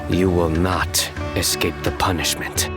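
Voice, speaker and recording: An actor playing a stern, deadpan young male judge with a taste for bad puns, in a game cutscene. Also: sits low, a little slow. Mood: solemn. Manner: clipped, deadpan and solemn. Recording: game soundtrack music behind.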